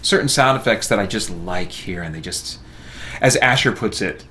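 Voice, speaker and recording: A man's voice: lowish voice, lively intonation, normal speed, sharp tone, slight background noise. A middle-aged man casually thinking aloud in rambling chat as he live-streams a game.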